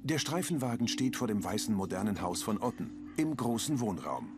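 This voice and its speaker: A man reading the audio description of a crime series in a calm, matter-of-fact way. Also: fairly low pitch, normal speed, over music.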